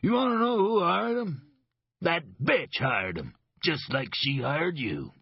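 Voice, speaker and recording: A belligerent middle-aged thug, voiced by a male narrator, snarling and cursing in a husky, raised voice that swoops high and hard. He mocks and threatens. The audio is dull and dry. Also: very animated, unhurried, no room sound, exaggerated voice. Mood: bitter. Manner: snarling, cursing, mocking laughs.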